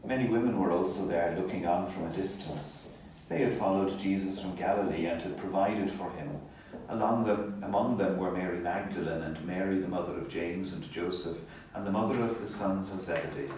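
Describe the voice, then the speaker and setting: A low-voiced man reads scripture solemnly, in a measured and formal way. The sound is very reverberant and distant from the microphone, with faint noise behind him. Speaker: clergy reader, Gospel narrator. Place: hospital chapel. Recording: echoey, distant mic, faint ambient noise.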